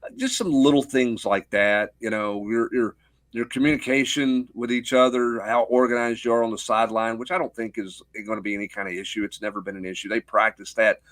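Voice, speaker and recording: A man's voice: mid-range voice, steady tone, fairly quick, a bit bright, no echo. A middle-aged man giving off-the-cuff sports analysis as a guest, explaining with rhetorical questions and little check-in tags.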